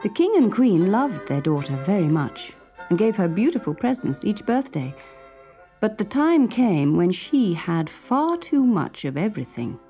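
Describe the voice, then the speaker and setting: A British woman tells a children's story with expressive narration. Her pitch moves in a lively way at a middle height, and music plays clearly behind her. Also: a little slow.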